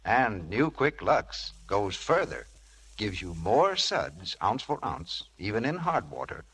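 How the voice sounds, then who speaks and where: A man announcing a 1940s radio commercial in an emphatic, salesmanlike way with a husky voice. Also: on the low side, big pitch swings, slow, fairly bright tone. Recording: old radio broadcast recording.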